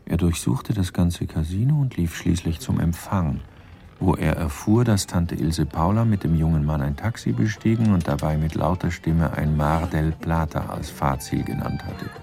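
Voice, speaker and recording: An actor narrating a German radio crime comedy as a wry, composed storyteller in a measured, dryly ironic manner. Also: male voice, sits low, vocal fry, mellow, faint music behind. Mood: dry irony. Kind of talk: scripted radio drama narration.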